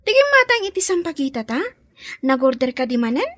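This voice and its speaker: A woman voicing an excitable, nosy young girl in an Ilocano radio drama. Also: high-pitched, brisk, no room sound, clean studio sound. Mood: surprised, teasing.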